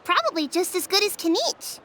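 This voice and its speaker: A woman's voice: extremely high pitch, very animated, brisk, sharp tone, a little hiss or hum, exaggerated voice. A woman voicing a young, blunt but sincere village child in a video game, with a youthful, matter-of-fact delivery. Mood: curious.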